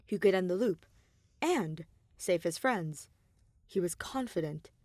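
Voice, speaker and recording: A woman reading scripted fiction as an audiobook narrator, with expressive, deliberate storytelling. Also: on the low side, no echo, studio quality. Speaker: podfic narrator.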